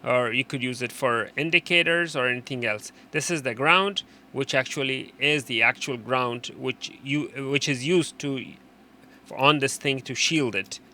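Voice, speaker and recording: A man presenting an unscripted technical how-to, explaining in a halting way and often repeating and rephrasing his instructions. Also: medium pitch, bright, forceful tone. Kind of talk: unscripted hands-on tutorial explanation.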